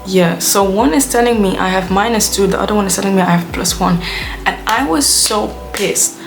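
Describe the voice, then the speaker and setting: A woman's voice: mid-range voice, a little fast. Rambling young woman vlogging. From Belgium. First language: Dutch. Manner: chatty, rambling, heavy use of "like". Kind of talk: casual rambling vlog rant. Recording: music playing under the voice.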